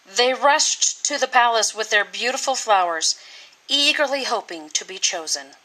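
A woman reading a children's storybook aloud with deliberate, expressive, dramatic phrasing. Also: on the high side, rough recording. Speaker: teacher reading a picture book.

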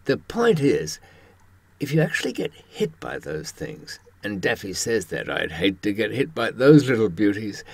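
A man in his seventies with a husky voice, presenting a conversational monologue in a wry storytelling manner with rhetorical questions. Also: lowish voice. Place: indoors, in front of a green screen.

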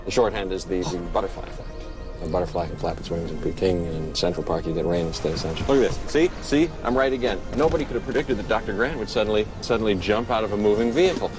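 An actor playing a smug, eccentric male scientist in a film, with halting, repeating, sardonic delivery. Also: on the low side, natural voice. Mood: smugly amused. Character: smug, eccentric chaos-theory scientist man. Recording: film dialogue over music.

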